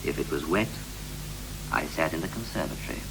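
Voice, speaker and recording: An actor playing a weary, brooding ageing husband in a 1960s poetic radio play, speaking in deliberate, declamatory phrases. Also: male voice, a bass voice, animated, vocal fry, exaggerated voice. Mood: weary, reflective. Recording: noisy, hissy 1960s radio recording.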